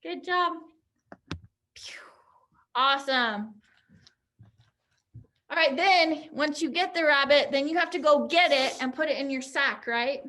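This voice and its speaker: Woman teacher, high voice, bright tone, encouraging, online class. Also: unhurried, pauses often. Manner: upbeat, encouraging, explains step by step. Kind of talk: leading a pantomime activity online.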